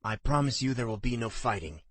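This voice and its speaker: A man voicing a plain, straightforward middle-aged father who speaks in a measured way. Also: mid-range voice, expressive, no room sound, close to an everyday voice. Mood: firm.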